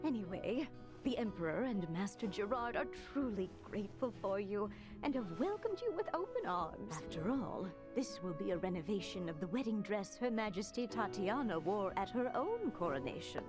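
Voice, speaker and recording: Brief: female actor as fantasy shopkeeper tailor, theatrically polite and deferential, very lively melody. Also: medium pitch, normal speed, music in the background, lightly acted. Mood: reassuring. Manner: formal, fawning, theatrical politeness. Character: gracious, effusive, deferential lady tailor. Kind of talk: video game cutscene acting.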